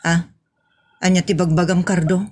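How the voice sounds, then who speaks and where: A woman's voice: lowish voice, level tone, normal speed, a little mellow, slight background noise, close to an everyday voice. A woman playing a nagging, scolding wife in a comic audio drama, with a chiding, questioning delivery. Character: nagging, scolding, sharp-tongued wife in a comedy.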